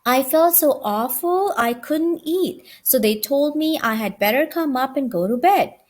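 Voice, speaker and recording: A woman's voice: high-pitched, lively intonation, a little slow. A woman voicing an unwell, kindly young boy in a children's storybook, hesitant and plain-spoken. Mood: apologetic. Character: listless, unwell young boy, kind and apologetic. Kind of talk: children's audiobook character reading.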